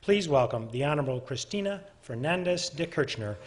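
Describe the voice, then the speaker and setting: A man's voice: on the high side, animated, brisk, very noisy. A man hosting a forum, reading a prepared introduction of the guest and now and then stumbling and correcting himself. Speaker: forum host introducing the speaker. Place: lecture hall.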